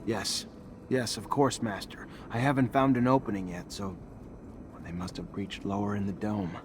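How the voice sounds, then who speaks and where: An actor playing an earnest, respectful young initiate soldier in a video game. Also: male voice, lowish voice, steady tone, moderate pace, noisy background, natural voice. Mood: dutiful. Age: young adult. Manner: earnest, deferential.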